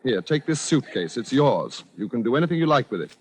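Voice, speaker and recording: An actor playing an evasive, unstable husband with princely delusions, clipped and theatrical in 1940s radio style. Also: male voice, mid-range voice, very animated, brisk, breathy edge. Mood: impatient.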